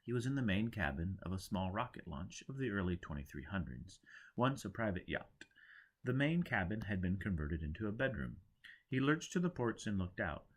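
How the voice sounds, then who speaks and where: Low-voiced man reading a story. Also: sing-song, moderate pace, slightly rough. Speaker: audiobook reader. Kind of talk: scripted dramatic story narration.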